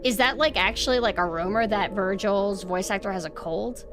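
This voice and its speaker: A woman's voice: medium pitch, moderate pace. Young female streamer, nasal, sarcastic, swears a lot, faint music under her. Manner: rambling, sarcastic, swears freely. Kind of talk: casual stream chat.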